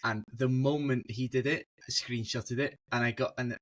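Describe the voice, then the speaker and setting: A man's voice: mid-range voice, steady tone, normal speed. A young man as a guest on a casual football podcast, telling stories animatedly and laughing mid-sentence over a video call.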